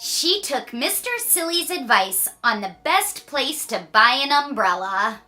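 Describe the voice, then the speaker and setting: A woman reading a children's story aloud with playful asides and dramatic, drawn-out emphasis. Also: high-pitched, lively intonation, sharp tone, soft background music. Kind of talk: animated read-aloud storytelling.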